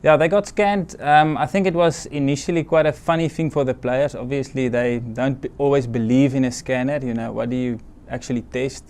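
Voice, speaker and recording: A man's voice: medium pitch, steady tone, brisk, few pauses, darkish tone, clear, resonant tone. South african man, hesitant answers. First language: Afrikaans or other non-English language. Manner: modest, hesitant, many ums. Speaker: team doctor, guest. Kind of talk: onstage interview answers.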